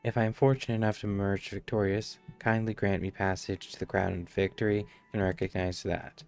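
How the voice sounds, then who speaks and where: Game streamer, male, lowish voice, casual commentary and read-aloud dialogue over in-game music. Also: level tone, a little fast, gravelly, darkish tone.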